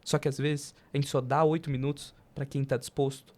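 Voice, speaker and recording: A mid-pitched voice with a Brazilian Portuguese accent. The speaker chats casually on a podcast, pressing points emphatically with slang and rhetorical questions. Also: little pitch movement, brisk, darkish tone.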